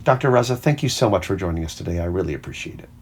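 A man's voice: low voice, lively intonation, very fast, slight background noise. A man hosting an interview, asking questions conversationally in hesitant, circling phrases. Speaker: interviewer, host.

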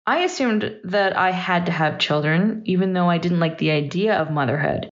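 A woman's voice: lowish voice, animated, moderate pace. Dull-sounding recording, candid woman in her thirties. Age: 30s. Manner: candid, emphatic phrasing with short punchy sentences. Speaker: guest podcast host. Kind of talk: personal reflective monologue.

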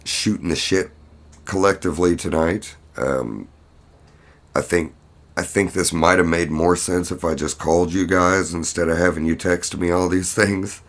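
A man's voice: deep voice, fairly even intonation, normal speed. A man hosting a casual podcast, talking in a dry, rambling, profane way. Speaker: podcast host. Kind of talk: casual solo podcast chat, voicing absent co-hosts.

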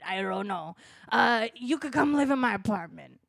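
A woman playing a brash, bossy, drunken caucus leader in an improvised comedy radio play, loud and rambling. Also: fairly high pitch, expressive, moderate pace, gravelly. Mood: earnest.

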